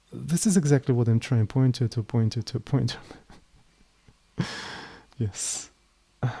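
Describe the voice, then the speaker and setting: A young man with a Slavic accent, live-streaming his programming and thinking aloud in a tired, halting way. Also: mid-range voice, warm tone. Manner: halting, thinking aloud, trailing off mid-sentence. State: mentally tired. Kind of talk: casual live coding stream.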